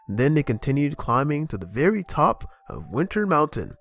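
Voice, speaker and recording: A man reading a children's story aloud as narrator in an animated, expressive way. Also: fairly high pitch, normal speed, vocal fry.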